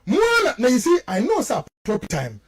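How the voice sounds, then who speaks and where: A man's voice: high voice, huge pitch swings, a little fast, dry recording, studio quality. A man with a Ghanaian accent giving impassioned, accusatory radio commentary, repeating phrases for stress and switching between two languages. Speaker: political commentator on a radio show.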